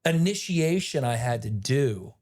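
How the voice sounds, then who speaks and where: A man with a raspy voice, a guest actor telling a rambling, animated story in a casual interview. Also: medium pitch, measured pace. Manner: animated, rambling retelling with many "like"s.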